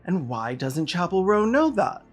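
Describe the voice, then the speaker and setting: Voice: mid-range voice, sing-song, unhurried. Emphatic commentator with music underneath. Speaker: video essayist host. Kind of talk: scripted political commentary.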